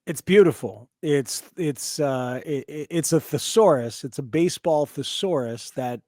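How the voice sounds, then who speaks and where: A man's voice: on the high side, darkish tone, no echo, clean studio sound. A man hosting a conversational sports interview, repeating words as he forms his thoughts. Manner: halting, repeats words while forming thoughts. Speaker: podcast host interviewer.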